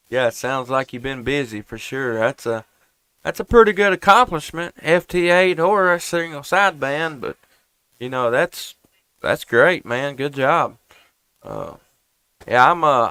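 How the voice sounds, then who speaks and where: A man's voice: medium pitch, a little slow, pushed and bright, clear, resonant tone, no room sound. A man making casual amateur radio contacts, talking in a folksy, hesitant way. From Tennessee. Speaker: amateur radio operator, live streamer.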